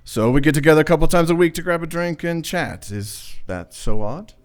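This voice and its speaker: A smooth young heir to a crime family played by a man in a noir radio play. His voice is a bit higher than average for a man. He is cagey and offhand, with hesitant pauses as he parries questions. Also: animated, natural voice. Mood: defensive, casual. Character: suave, guarded gangster's son, noir suspect.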